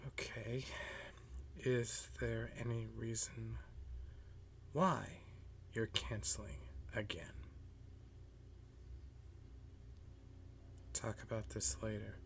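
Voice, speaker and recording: A man playing a weary, jealous math teacher and best friend in a roleplay monologue, halting and muttering with a breathy voice. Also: fairly low pitch, little pitch movement, a little hiss or hum. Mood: hesitant. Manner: halting, muttering, frequent sighs. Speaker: audio roleplay performer. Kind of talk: slow roleplay monologue to the listener.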